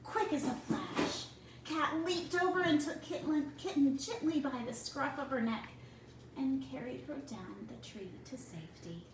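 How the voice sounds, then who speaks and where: A woman reading a picture book aloud to young children in a deliberate way, pausing for asides to the listeners. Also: sits high. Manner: slow, deliberate phrasing for children. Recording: roomy, distant mic with clear background noise.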